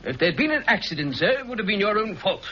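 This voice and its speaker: An actor playing a stern, brusque colonel who gives orders, in a 1960s radio drama, muffled old broadcast. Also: male voice, high-pitched, sing-song, fast, bright, forceful tone, a little hiss or hum, heavily acted. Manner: commanding, brusque.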